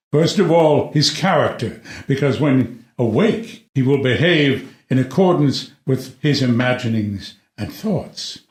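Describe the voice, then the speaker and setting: Deliberate, philosophical old-world king played by a man, swooping pitch. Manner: deliberate, declamatory, formal. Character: calculating, philosophical king testing his imprisoned son. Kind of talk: unrehearsed dramatic play reading of classical comedy. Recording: echoey room.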